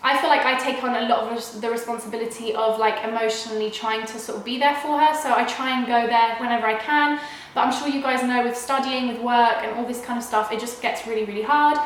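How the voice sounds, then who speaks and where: A young woman chatting to camera at home with a nasal, under-the-weather sound, her voice sitting a little high. She rambles casually, repeating words and restarting sentences. The room around her is very echoey. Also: steady tone, fast talker, a little hiss or hum. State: unwell with a cold. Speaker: vlogger.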